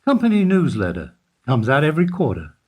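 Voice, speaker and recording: A man acting in a radio play as an evasive older bank employee who answers in a guarded, deliberate way. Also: on the high side, wildly swooping pitch, a little mellow. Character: evasive older male bank foyer employee under questioning.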